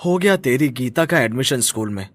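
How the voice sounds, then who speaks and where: Casting: kind-hearted family man played by a male actor, mid-pitched, insistent, highly expressive, moral storybook drama. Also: normal speed, dry recording, clean studio sound, natural voice. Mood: caring. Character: kind-hearted working husband, caring brotherly family friend.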